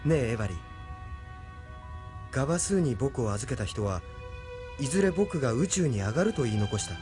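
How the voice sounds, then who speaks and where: A man's voice: mid-range voice, big pitch swings, normal speed, over music, lightly acted. An actor playing a sincere, pensive nineteen-year-old young man in an audio drama, speaking earnestly. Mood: wistful. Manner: earnest, wistful, introspective. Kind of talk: anime-style audio drama acting.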